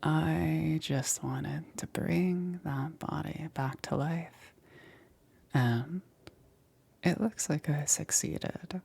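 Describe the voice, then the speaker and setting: Woman doing a creepy-gentle witch for asmr, slow n breathy, lots of whispering, kinda low, coaxing w quiet menace. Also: exaggerated voice. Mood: soothing. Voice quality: breathy, husky, often whispering. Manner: slow, hesitant, softly cooing. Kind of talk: ASMR roleplay.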